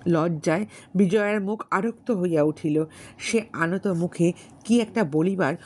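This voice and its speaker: A woman's voice: on the low side, measured pace, darkish tone. A woman reading a literary story aloud as an audiobook narrator, in scripted narration.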